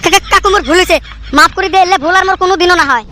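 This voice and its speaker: A woman's voice: very high voice, very fast. A woman voicing cheeky, bantering cartoon characters and hosting her channel. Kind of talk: cartoon comedy voice acting.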